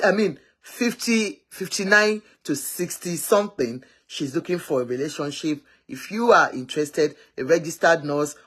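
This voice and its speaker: A woman's voice: deep voice, slightly rough. A woman hosting a casual live matchmaking stream, rambling and often correcting herself.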